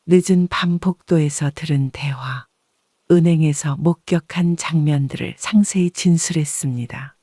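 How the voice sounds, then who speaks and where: A woman reading a first-person story as an audiobook narrator in a measured, composed way. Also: low-pitched, dark tone, no room sound, clean studio sound.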